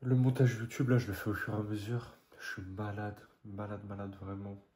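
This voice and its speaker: A man's voice: on the low side, level tone, fairly quick, dark tone, husky, no room sound. A man recording a casual training diary vlog, chatting and thinking aloud in short bursts. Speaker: amateur triathlete vlogger.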